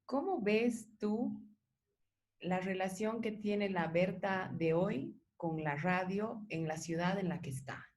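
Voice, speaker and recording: A woman's voice: medium pitch, slow pace, a little mellow. A woman with a Bolivian Spanish accent hosting a reflective interview, speaking in an articulate, discursive way with frequent tag questions. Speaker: interviewer, host of a radio art programme.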